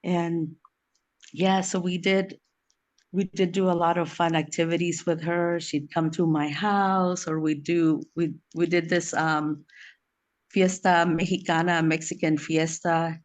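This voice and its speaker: A woman who is from El Salvador and was raised in the US speaks as a friend at a memorial. Her tribute is informal and heartfelt. She moves through it haltingly, often repeating a word or two before going on. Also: fairly low pitch, little pitch movement. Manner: halting, repeats words while searching.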